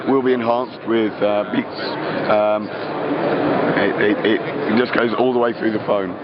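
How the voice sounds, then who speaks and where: Croaky man demoing a phone. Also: lowish voice, steady tone. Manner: fillers, quick geeky explaining. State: croaky, tired voice. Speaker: company product presenter. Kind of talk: casual product demo. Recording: lo-fi with loud background noise.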